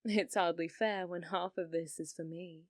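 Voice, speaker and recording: A woman's voice: fairly low pitch, fairly even intonation, dry recording, clean studio sound, natural voice. A woman playing a flirty, possessive yandere rental date in an ASMR roleplay, teasing and coy, coaxing the listener. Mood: coaxing, hopeful. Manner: teasing, coy, slow and deliberate, drops to whispers.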